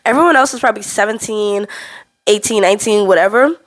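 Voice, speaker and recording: A woman in her twenties speaks to her camera in a casual, frank vlog, her voice a little stuffy from a cold. She tells her story in an animated, candid way, her pitch sitting in an ordinary middle range. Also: fast. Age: 20s. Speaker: vlogger.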